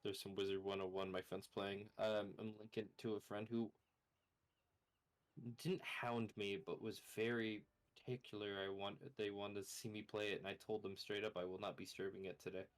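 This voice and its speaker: Low bassy man teasing a friend. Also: normal speed. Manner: teasing, deadpan joking. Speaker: friend on voice chat. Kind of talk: casual banter. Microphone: own microphone over voice chat.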